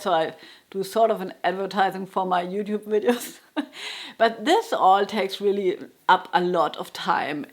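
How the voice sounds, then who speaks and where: A middle-aged woman with a German accent, a guitar teacher who talks candidly and thoughtfully about her work, often with a self-deprecating tone. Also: medium pitch. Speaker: jazz guitar teacher and YouTuber. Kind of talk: reflective casual monologue. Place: her home room.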